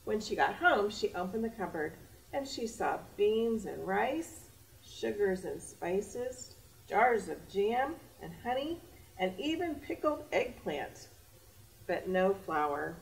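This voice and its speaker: A woman's voice: mid-range voice, very animated, takes it slowly, gravelly. A middle-aged American woman hosting a children's storytime, reading expressively in simple, theatrical phrases. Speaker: library storytime host. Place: library children's area, indoors. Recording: distant camera mic, faint room noise.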